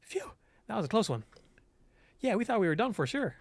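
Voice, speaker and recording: Guy doing a hyper little demon dog girl for a game, high pitched, gushing, eager and cheeky, dry sound. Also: big pitch swings, brisk, gravelly, no echo, heavily acted. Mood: playful.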